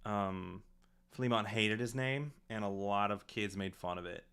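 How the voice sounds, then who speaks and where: A man with a fairly low voice hosts a casual director's commentary. He talks in a halting, reflective, rambling way, as on a relaxed live stream. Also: clean tone. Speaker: audio drama director and stream host.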